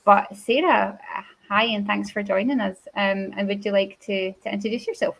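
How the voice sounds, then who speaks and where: A woman's voice: medium pitch, fairly even intonation, normal speed, gravelly, a bit bright, rough recording. A woman with a Glaswegian accent hosting an online developer meetup, upbeat and talkative, with many ums and restarts. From Glasgow, Scotland. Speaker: meetup host. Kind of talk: livestream host introduction.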